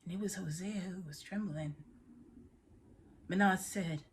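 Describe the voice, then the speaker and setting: A woman performing an intimate dramatic monologue as a haunted woman confiding a tale of passion, hushed, breathy and measured. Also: lowish voice, slight background noise, lightly acted.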